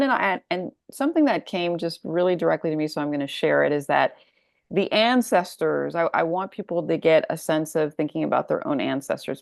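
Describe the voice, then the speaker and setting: A woman with a low voice and plenty of vocal fry speaks as an author guest in an interview. She is thoughtful and conversational, expressively stretching out key words, in a very dry recording. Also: darkish tone, no echo.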